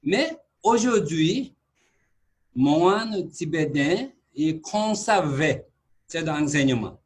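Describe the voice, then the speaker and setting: A Buddhist monk with a Tibetan accent mixed with some Quebec French, giving a conference talk in halting, broken French, calmly repeating key words in short phrases. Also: male voice, on the high side, a little hiss or hum.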